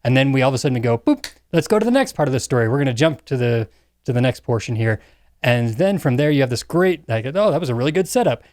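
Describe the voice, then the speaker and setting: A man co-hosting a casual film discussion, piecing his thoughts together with pauses. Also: medium pitch, very animated, darkish tone, no echo, clean studio sound. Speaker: podcast co-host.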